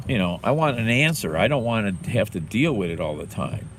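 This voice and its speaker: A man vlogging in casual chat, rambling and halting, who swears offhandedly and sounds stuffed up with a sore throat. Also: fairly low pitch, very animated. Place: outdoors in a city. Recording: noisy outdoor city recording.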